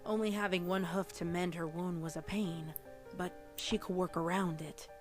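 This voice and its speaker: Female story narrator, lowish voice, dramatic scripted prose, music bed beneath. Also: fairly even intonation, moderate pace. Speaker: audiobook-style story narrator. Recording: music playing under the narration.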